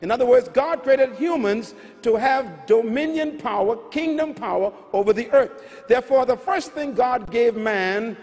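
A man's voice: very high-pitched, animated, normal speed, a little mellow. A middle-aged man with a Bahamian accent preaching a sermon on a large arena stage with a handheld mic, teaching emphatically and repeating key lines. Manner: emphatic, repetitive teaching with rising calls. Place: large arena stage before a big audience. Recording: large hall with long reverb.

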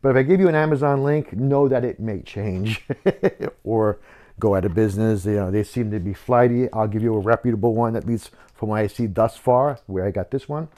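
A man's voice: lowish voice, brisk, dark tone, slight background noise. A man in his fifties hosting a casual how-to tutorial, explaining step by step in a conversational way. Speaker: host of a how-to video channel. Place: wooden home workshop, indoors.

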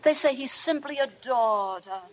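Gushing society matron, swooping voice. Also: female voice, high-pitched, lively intonation, a little slow. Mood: gossipy. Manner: gushing, condescending. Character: pushy, gossipy wealthy older society woman. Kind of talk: 1950s radio drama acting. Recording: old radio broadcast with music.

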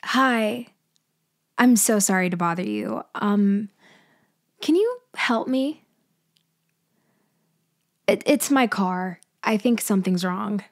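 A woman plays a scheming female mafia leader in an intimate ASMR audio roleplay. Her soft, hesitant speech moves slowly, with a noticeable fry. The sound is dry and close to the mic. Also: mid-range voice, very animated, no echo. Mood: feigned helplessness. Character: cunning female mafia leader feigning a helpless stranded motorist.

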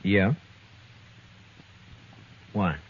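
An actor playing a tough, stern frontier marshal in a 1950s radio western, blunt, laconic and commanding. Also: male voice, deep voice, drawn-out words. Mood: dry, probing. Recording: muffled, noisy 1950s radio recording.

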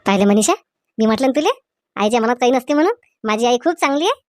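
A woman's voice: fairly high pitch, expressive, fast talker, a little hiss or hum, natural voice. A woman voicing a devoted young husband in a comedy cartoon, reassuring and encouraging. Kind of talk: Marathi comedy cartoon dialogue.

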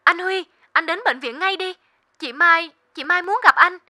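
A woman's voice: very high voice, moderate pace, pushed and bright, no echo, clean studio sound, heavily acted. A woman playing a sympathetic, earnest bearer of grave news in a cartoon drama. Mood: urgent, grave.

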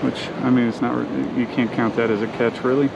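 Male fishing vlogger, gravelly voice, laid-back self-talk, muffled camera mic with loud wind and surf. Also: medium pitch, brisk. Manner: relaxed, talking to himself while fishing. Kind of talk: casual first-person commentary. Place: outdoors on an ocean fishing pier. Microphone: action camera worn on the body.

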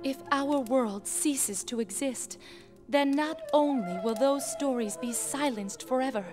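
Game genie: young, dutiful and earnest, high voice, formal solemn phrasing over loud music. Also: female voice, unhurried. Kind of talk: video game cutscene acting.

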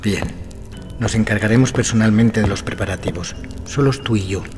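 An actor playing a troubled, morbid, reclusive aristocrat in a gothic audio drama, intense, formal and theatrical. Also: male voice, fairly low pitch, normal speed, over music, natural voice. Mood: grim resolve.

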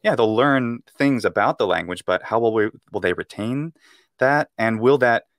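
A man's voice: mid-range voice, lively intonation, normal speed, fairly bright tone, dry recording, studio quality. A young American man, a Latin teacher, explaining at length in a casual interview over a video call, with many fillers and restarts. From United States. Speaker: guest, Latin speaker and teacher. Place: room at home. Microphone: earphone mic on video call.

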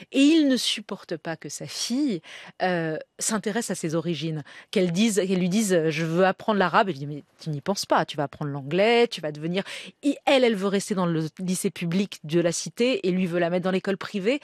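A middle-aged woman hosting a radio interview, briskly summarizing the guest's story and steering with questions at a studio mic. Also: fairly low pitch, no echo. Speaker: radio host. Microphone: studio mic, headphones.

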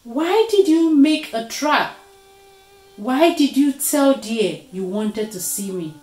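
A woman voicing a hot-tempered, wounded leopard in a storybook tale, crying out loudly and indignantly. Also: high voice, lively intonation, takes it slowly, echoey room, voice pushed up. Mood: furious, accusing. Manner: growling, crying out.

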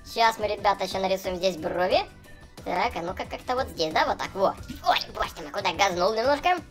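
A man's voice: sits high, fast, a bit bright. A man voicing a cheerful young clown for small children, gushing and chatty as he narrates gameplay. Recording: voice over background music. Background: music.

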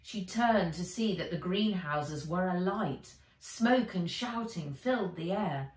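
A middle-aged woman reading an adventure story for children aloud, with expressive, dramatic, emphatic delivery. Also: on the low side, slow pace, distant mic. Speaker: author reading her own book aloud. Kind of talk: dramatic audiobook-style narration for children. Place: indoors, bright room with armchair. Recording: roomy, echoey, away from mic.

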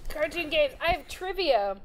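A young woman hosting a casual panel show in an excitable, giggly manner. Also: high-pitched, normal speed, very noisy. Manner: excitable, giggly, swears freely. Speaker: host. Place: small stage studio, indoors. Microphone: lapel mic.

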